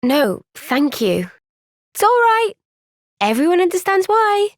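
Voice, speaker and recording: An actress voicing a gentle, caring young female soldier in a game cutscene, speaking softly and earnestly. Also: very high voice, sing-song, slow pace, no echo, clean studio sound, full character voice. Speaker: game character. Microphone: close studio mic.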